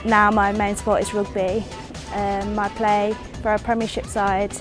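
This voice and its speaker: A nineteen-year-old woman speaks in a flat, even monotone at a middle pitch. She is matter-of-fact, and music plays clearly in the background. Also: measured pace. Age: young adult. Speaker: interviewee, student athlete. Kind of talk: documentary interview.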